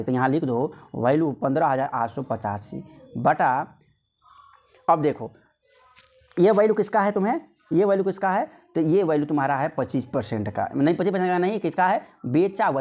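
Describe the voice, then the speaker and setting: A man's voice: on the high side, a little mellow. Man teaching maths, repeating himself for emphasis. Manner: repetitive, asks questions and answers them himself. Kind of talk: informal exam-prep lesson, explaining step by step.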